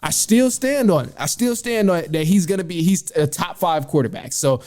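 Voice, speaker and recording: A man's voice: fairly high pitch, expressive, moderate pace, darkish tone, faint music behind. A man hosting a sports show, giving casual, opinionated commentary in informal language. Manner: informal, opinionated, mild swearing. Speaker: sports podcast host.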